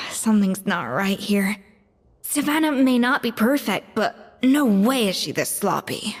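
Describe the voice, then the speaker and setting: A woman voicing a proud, composed female head chef who speaks in a firm, measured and reproachful way, in a game. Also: medium pitch, lively intonation, breathy edge. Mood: stern. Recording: game audio, reverb, music behind.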